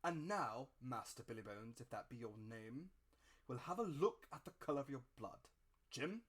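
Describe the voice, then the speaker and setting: A man's voice: mid-range voice, expressive, moderate pace, fairly bright tone, breathy edge. A man voicing a stern, scornful country doctor in a storybook reading, with a blunt, authoritative and emphatic delivery. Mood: composed, commanding.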